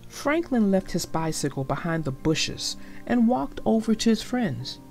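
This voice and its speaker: Woman, children's read-aloud narrator, lively pitch, unhurried, deliberate, soft music behind.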